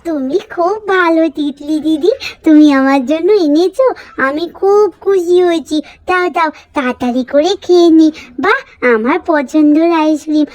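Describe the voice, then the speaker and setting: A woman's voice: high voice, moderate pace, darkish tone, voice pushed up, close to an everyday voice. A woman voicing a demanding, chatterbox little girl doll in a story, with a whiny, pouty, childish manner. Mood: delighted.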